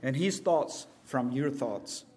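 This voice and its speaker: A man from El Salvador, preaching a sermon as a guest preacher and building from quiet words to loud emphasis. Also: mid-range voice, takes it slowly, a little hiss or hum.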